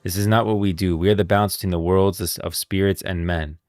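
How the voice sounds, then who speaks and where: Man reading as a stern, low-voiced order master. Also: fairly even intonation, fairly quick, a little mellow, no echo, natural voice. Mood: solemn, firm. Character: stern, principled male master of a spiritual order. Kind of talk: reading comic dialogue aloud.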